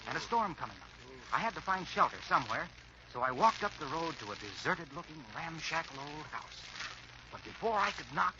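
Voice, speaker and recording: A man's voice: on the high side, close to an everyday voice. A man playing an eager, salesmanlike party guest on old radio, speaking in a polished, theatrical storytelling way. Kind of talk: 1940s radio storytelling and sponsor pitch. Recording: muffled, noisy vintage radio recording.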